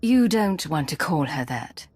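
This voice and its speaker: A woman's voice: sits low, big pitch swings, a little slow, darkish tone, breathy edge, exaggerated voice. A woman playing a composed, decisive spy commander in a video game, with a dry manner.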